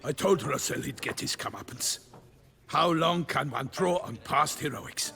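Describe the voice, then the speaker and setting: A man acting an excitable, haughty knight in a video game, exclamatory and courtly in phrasing. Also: fairly high pitch, unhurried, gravelly, slight background noise, exaggerated voice. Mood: scornful. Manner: exclamatory, theatrical, old-fashioned courtly phrasing. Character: excitable, haughty knight, impatient and easily outraged.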